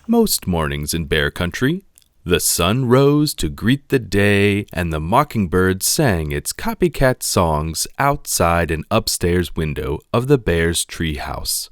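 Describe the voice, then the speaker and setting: A man reading a children's picture book aloud in an expressive narrating style. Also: low-pitched, takes it slowly, no room sound, studio quality. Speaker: storybook reader.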